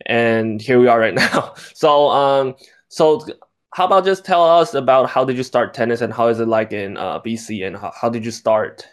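A young man from Taiwan hosting a sports podcast, talking in a halting way with lots of fillers. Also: mid-range voice. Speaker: podcast host.

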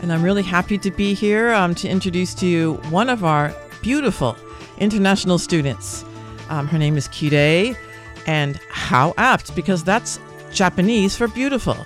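A woman's voice: lowish voice, expressive, normal speed, faint music behind. Enthusiastic woman radio host. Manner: enthusiastic, frequent exclamations and fillers. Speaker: radio show host and English department chair. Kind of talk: friendly interview.

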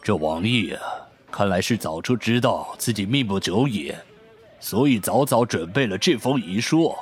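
Man acting as low-voiced, composed police captain. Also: lively intonation, moderate pace, slight background noise, natural voice. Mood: composed, businesslike. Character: male police captain, composed, shrewd senior detective. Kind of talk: radio drama acting.

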